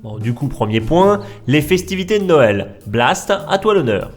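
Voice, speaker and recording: A man playing a brisk, sarcastic office manager chairing a staff meeting in a comedy audio drama. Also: fairly high pitch, big pitch swings, soft background music. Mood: smug. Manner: blunt, dry, addressing staff like a chairman.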